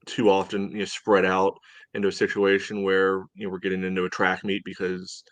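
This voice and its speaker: Low-voiced man, casual sports podcast host. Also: completely flat delivery, a bit bright, no room sound. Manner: measured, hedging, filler-heavy with "you know". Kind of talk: casual sports analysis chat.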